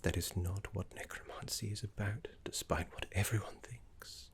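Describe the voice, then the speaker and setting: A man voicing a gentle, scholarly necromancy teacher in an ASMR roleplay, soft, breathy and half-whispered, with soothing, genteel phrasing. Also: lowish voice, steady tone, normal speed, natural voice. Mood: reverent, hushed. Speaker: teacher guiding a student.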